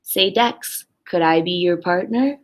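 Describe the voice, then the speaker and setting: A woman's voice: lowish voice, measured pace, pitched below the performer's own voice, natural voice. Woman playing a big whiny storybook tomcat. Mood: sheepish. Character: big lazy tomcat, whiny, sheepish, dramatic. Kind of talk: children's picture book read-aloud.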